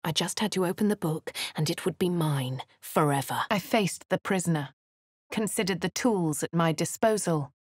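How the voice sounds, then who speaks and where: A dry, posh English adventuress. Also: female voice, on the low side, dry recording. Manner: crisp, clipped, dryly sardonic. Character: posh young female adventurer, dry and wry. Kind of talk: video game character voice lines. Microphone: close studio mic. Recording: dry studio recording.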